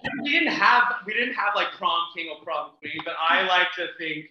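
A man's voice: high-pitched, lively intonation, normal speed, heavy reverb, dull audio, over a noisy crowd. A man with an Australian accent chatting casually as a podcast guest, telling personal stories in an emphatic, theatrical way. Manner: theatrical, emphatic, repeats words for effect. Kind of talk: casual chat, animated personal storytelling.

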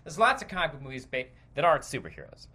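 Bright, animated man podcasting casually. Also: on the high side, rapid-fire, husky. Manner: conversational, opinionated. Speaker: podcast host. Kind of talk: casual rambling solo commentary.

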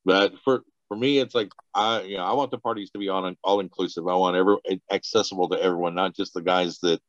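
A man's voice: low-pitched, fairly even intonation, quick pace, no echo, muffled recording. A man from Texas, a guest on a podcast, talking casually and rambling with many restarts and laughs. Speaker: guest, party organizer.

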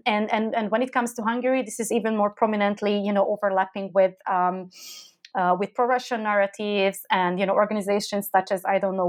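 Woman guest expert, podcast discussion, hesitant delivery, many uhs and restarts. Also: medium pitch.

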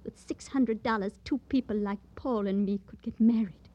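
An actress playing a guarded, plaintive eighteen-year-old working-class girl in a 1950s radio crime drama, speaking in simple, halting phrases. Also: medium pitch, dark tone, lightly acted. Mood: defiant, hurt.

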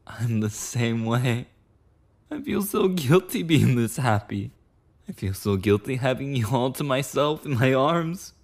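A man's voice: medium pitch, expressive, unhurried. A man playing a clingy, possessive yandere boyfriend in an intimate ASMR roleplay monologue, rambling and cooing tenderly with lapses into whispers. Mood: fervent, possessive.

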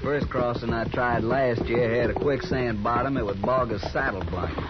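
A man's voice: medium pitch, moderate pace, loud music behind the voice, natural voice. Man as a blunt, hard-bitten cattle trail boss. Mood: grim. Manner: gruff, blunt, folksy. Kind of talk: 1950s radio western acting. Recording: muffled, noisy 1950s radio recording.

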